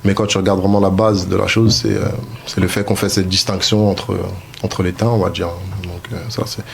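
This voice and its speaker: Man, host, low gravelly voice, halting delivery, faint background noise. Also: level tone. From France. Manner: hesitant, frequent fillers and restarts. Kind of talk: casual discussion.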